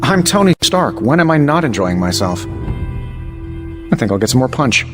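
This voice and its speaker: An actor playing a cocky, glib, self-assured hero in a video game. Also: male voice, medium pitch, big pitch swings, unhurried, big-room sound, music in the background. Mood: breezy, smug. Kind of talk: video game cutscene acting.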